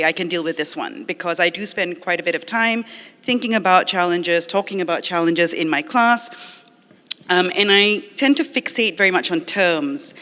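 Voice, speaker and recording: A middle-aged lecturer from Malaysia speaks at a mid pitch through a venue microphone, the voice trailing into a long reverb in a library event space. The delivery is earnest and explanatory, an academic forum talk partly read from notes and sprinkled with ums and uhs. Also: bright, forceful tone. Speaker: lecturer and arts educator.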